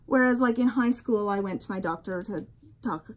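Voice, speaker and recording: A woman's voice: mid-range voice, normal speed. A middle-aged woman co-hosting a casual podcast, rambling with many fillers and trailing off. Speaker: podcast co-host. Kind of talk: casual chat.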